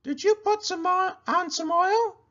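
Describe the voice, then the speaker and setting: A man voicing a helpful, teasing female llama from a children's book in a high, sing-song falsetto. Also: measured pace, bright, forceful tone, dull audio, exaggerated voice. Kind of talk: children's picture book read-aloud.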